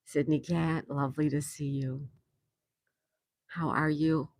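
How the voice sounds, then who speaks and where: A woman's voice: low voice, flat delivery, slow, a little mellow, dry recording, studio quality. An older woman from New York hosting a casual livestream from an outdoor patio, chatting and reading viewers' messages aloud with frequent laughs. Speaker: livestream host. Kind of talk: casual live chat reading comments.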